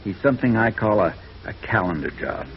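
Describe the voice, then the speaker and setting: A man's voice: lowish voice, animated, a little fast, natural voice. A male actor playing a troubled, conscientious defense attorney, world-weary and emphatic, in a 1950s radio drama. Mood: regretful, reflective. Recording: dull, noisy vintage radio recording.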